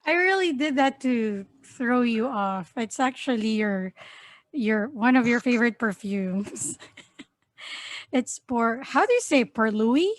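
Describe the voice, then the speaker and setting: A woman's voice: a little high, lively intonation, no echo. A woman from the Philippines hosting a casual chat, playfully quizzing her guest. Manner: playful, slow and deliberate. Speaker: host. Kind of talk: casual chat, quiz questions.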